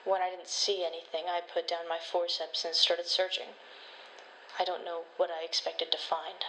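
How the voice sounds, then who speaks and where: A woman performing a horror story as an uneasy forensic pathologist, speaking in a measured, deliberate way with dramatic pauses. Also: little pitch movement, fairly bright tone, lightly acted. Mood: mounting dread. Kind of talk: scripted first-person horror narration. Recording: noisy low-quality home microphone recording.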